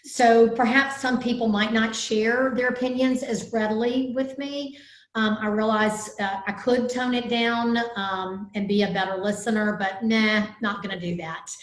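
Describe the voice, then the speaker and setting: A woman's voice: on the high side, steady tone, a little slow, echoey room, poor audio. A woman host with a Southern US accent in casual conversation, speaking boldly and with self-assurance. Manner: bold, outspoken, self-assured.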